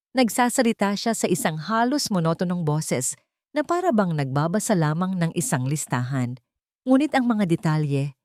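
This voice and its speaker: Woman, Filipino accent, low pitch, scripted dramatic narration, dry recording. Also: a little mellow, no echo. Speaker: storyteller, narrator.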